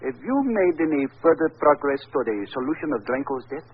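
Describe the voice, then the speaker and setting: An actor playing a suave, villainous violin dealer in a 1940s radio drama, courtly and ingratiating, with hesitant pauses. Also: male voice, medium pitch, breathy edge, close to an everyday voice. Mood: smooth, ingratiating. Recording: old tinny band-limited radio recording.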